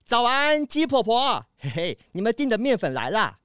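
Woman as Jack, an animated delivery guy. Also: on the high side, moderate pace, no room sound, clean studio sound. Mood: breezy. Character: breezy flour deliveryman Jack, easily startled. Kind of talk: children's audio drama acting.

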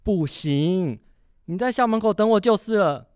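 A man's voice: high-pitched, lively intonation, a little slow, a little mellow, smooth, no echo, studio quality. A man playing a worried, nagging middle-aged father in a family radio drama, insistent and exasperated.

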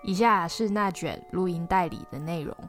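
A woman's voice: lowish voice, normal speed, soft background music. A woman podcast host reading scripted story narration.

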